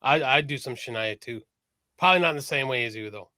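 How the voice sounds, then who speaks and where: A man's voice: mid-range voice, quick pace, pushed and bright, no room sound. A man co-hosting a casual live-streamed podcast, chatty and enthusiastic about music, swearing casually. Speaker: podcast co-host.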